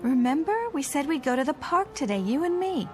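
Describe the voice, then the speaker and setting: Earnest, high-voiced, plain-spoken working gal. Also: female voice, animated, brisk, music in the background. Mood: friendly. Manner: plain-spoken, wistful, hesitant. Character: earnest, friendly, plain-living working woman out of place in luxury. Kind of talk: radio drama acting.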